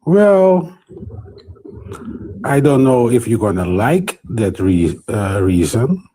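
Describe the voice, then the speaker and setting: A man's voice: medium pitch, very animated, takes it slowly, dark tone, slightly rough, poor audio. A man in his forties with a Guyanese accent, a native Creole speaker, debating casually and searching for words. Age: 40s. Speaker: guest debater.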